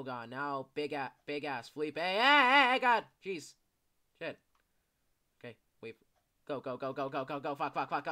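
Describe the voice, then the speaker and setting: A young man giving casual, excitable commentary while playing a video game, swearing often and yelling when chased. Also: on the high side. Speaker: gameplay commentator. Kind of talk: casual live gameplay commentary.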